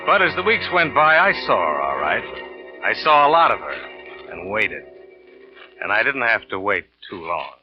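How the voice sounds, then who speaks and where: An actor playing a cynical, smooth-lying American salesman and narrator in a 1940s radio thriller, cool and offhand in manner. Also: on the low side, sing-song, normal speed. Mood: cynical, calculating. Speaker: lead actor and first-person narrator. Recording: dull vintage radio recording with music.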